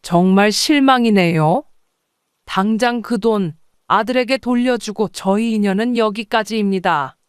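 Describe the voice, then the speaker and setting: A woman's voice: medium pitch, a little slow, clear, resonant tone, no room sound, studio quality, lightly acted. A woman playing a wronged, firm restaurant owner in an audiobook drama, direct and restrained. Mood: disappointed, firm.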